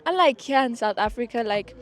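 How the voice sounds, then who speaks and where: A woman's voice: fairly high pitch, expressive, fairly quick. A teenage girl answering interview questions earnestly, with many fillers. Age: teens.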